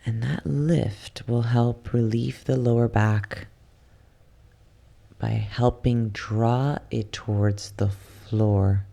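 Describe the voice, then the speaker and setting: A soft, hushed voice guiding a yoga nidra relaxation in ASMR style, soothing and unhurried, slipping at times into a whisper. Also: medium pitch, fairly even intonation, creaky. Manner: soothing, unhurried, with long pauses. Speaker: guided relaxation instructor.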